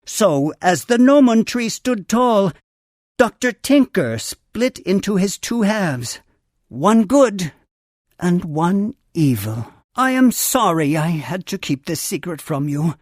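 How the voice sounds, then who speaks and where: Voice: medium pitch, sing-song, slow, dry recording, studio quality. An actor voicing a wise, earnest male creature mentor in a video game, with a formal, theatrical storytelling delivery. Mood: solemn, regretful. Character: wise male Funkey mentor, grand, earnest tree guardian. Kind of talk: scripted video game character dialogue.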